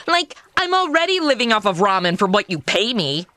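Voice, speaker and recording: Casting: self-absorbed, snarky young woman in comic audio drama, bright forceful tone, swooping petulant delivery. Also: medium pitch, very animated, fairly quick, dry recording. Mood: sarcastic. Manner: sarcastic, petulant, valley-girl phrasing. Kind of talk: comedy audio drama acting.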